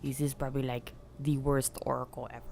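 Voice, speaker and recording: A woman's voice: a bass voice, flat delivery, fast, creaky, darkish tone, a little hiss or hum. A woman playing a tabletop game, role-playing casually and bluntly with playful interjections. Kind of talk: casual improvised role-play chat.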